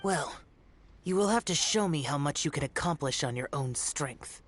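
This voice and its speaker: A woman voicing a grave, aloof, menacing guardian in an anime video game, stern and measured. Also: low voice, animated, soft background music. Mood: grave, warning.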